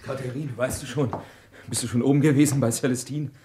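A man's voice: medium pitch, fairly even intonation, brisk, background noise, lightly acted. An actor playing a big, emotional peasant man in his forties in a radio drama, with a plaintive manner. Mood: grieving. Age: 40s.

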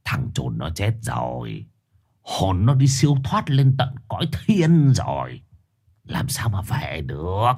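A man doing a sly old sorcerer, low and gruff. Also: huge pitch swings, slow pace, a little mellow, exaggerated voice. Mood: persuasive. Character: scheming old male village sorcerer, sly and stern.

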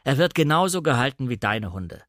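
A man reading as a curt, sardonic gentleman. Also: medium pitch, big pitch swings, fairly quick, no echo, clean studio sound. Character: irritable, sardonic upper-class husband, master of the house. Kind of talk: dramatic audiobook reading.

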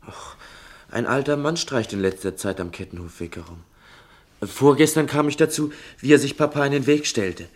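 Schoolboy son for radio play, male actor: curious, persistent, sensitive, halting and eager, mid-range voice. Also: medium pitch, close to an everyday voice. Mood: excited, earnest. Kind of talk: German radio play acting.

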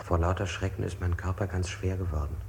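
An actor playing a haunted man under interrogation in a German radio play, speaking deliberately and correcting himself. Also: low-pitched, steady tone, creaky. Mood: haunted, measured. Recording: hissy 1960s radio play recording.

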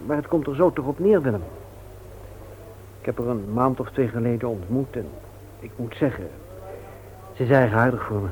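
An actor playing an earnest, reserved widowed father in a radio play, speaking in a hesitant, halting way. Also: medium pitch, darkish tone, lightly acted. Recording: tinny old radio recording with hiss.

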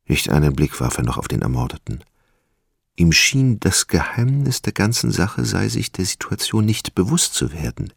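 A man narrating a literary radio play in a deliberate, suspenseful, dramatic style. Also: low voice, big pitch swings, creaky, breathy edge, dry recording, clean studio sound. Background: music.

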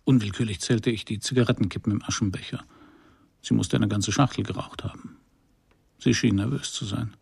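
An actor playing a laconic, courteous Parisian private detective in a radio crime drama, speaking and narrating with a dry, gravelly, husky voice. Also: male voice, on the low side, fairly even intonation, brisk, darkish tone, no echo, heavily acted. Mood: dry, wry. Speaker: detective and first-person narrator.

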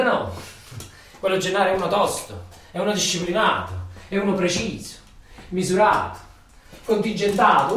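A man with a Neapolitan accent delivers a theatrical monologue in a colloquial, emphatic, repetitive storytelling manner. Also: high-pitched. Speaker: stage monologue actor. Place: roomy interior, far from microphone. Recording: distant echoey room, faint noise.

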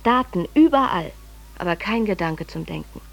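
A woman's voice: lowish voice, expressive, moderate pace, creaky, faint music behind. An actress playing an overwhelmed, self-ironic, pedantic woman journalist in a radio drama monologue, speaking in a dramatic, articulate way. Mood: exasperated. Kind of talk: radio drama monologue, letter read aloud.

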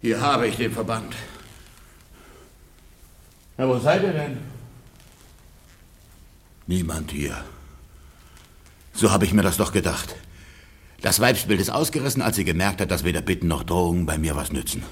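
An actor playing a jealous, blustering rich peasant husband in a radio play, sarcastic and mock-polite in theatrical style. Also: male voice, low-pitched, sing-song, moderate pace, long pauses. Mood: smug.

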